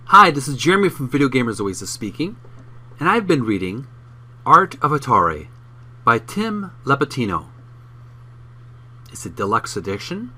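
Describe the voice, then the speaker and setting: Male channel host, mid-pitched and animated, emphatic read-aloud narration with the odd stumble. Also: moderate pace, long pauses, slightly rough. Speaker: host reading a book aloud. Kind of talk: book narration for a video channel.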